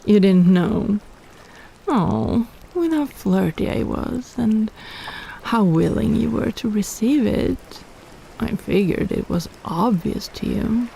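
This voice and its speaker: A woman voicing a possessive, flirtatious female kraken in a romantic roleplay audio, teasing in a sultry, playful, unhurried way with sighs. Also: mid-range voice, animated, vocal fry, warm tone, a little hiss or hum, low-quality recording. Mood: flirty teasing.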